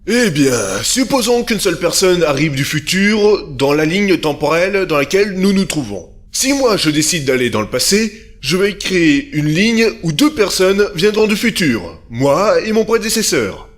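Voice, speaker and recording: A man acting in an audio drama as a calm, formal inventor giving a didactic conference speech. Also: high voice, normal speed. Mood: measured, assured. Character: calm male inventor presenting his time machine.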